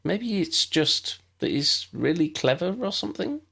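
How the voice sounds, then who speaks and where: A man's voice: on the high side, very animated, measured pace, gravelly, sharp tone, breathy edge, voice pushed up, exaggerated voice. A man voicing a polite, curious young girl in an audiobook, earnest and a little hesitant. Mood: thoughtful. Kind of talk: audiobook character voice.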